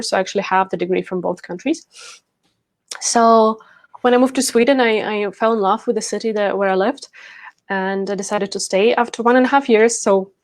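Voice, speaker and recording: A woman's voice: mid-range voice, no echo. Woman telling her story. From Ukraine. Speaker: interview guest, leadership and team coach. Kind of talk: conversational personal storytelling.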